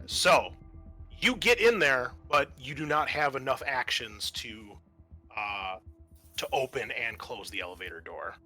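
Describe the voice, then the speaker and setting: A man with a bright, forward voice and a middle pitch works as a game master. He explains turns and narrates the scene conversationally, as if making it up on the spot, with music behind him.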